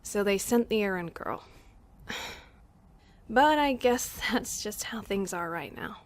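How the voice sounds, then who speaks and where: A woman's voice: medium pitch, lively intonation, takes it slowly. A woman playing a considerate young medic in an audio drama, casual and reassuring with some hesitation. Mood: casual, apologetic. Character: busy, considerate young medic in a survivor camp. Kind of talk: amateur audio drama acting.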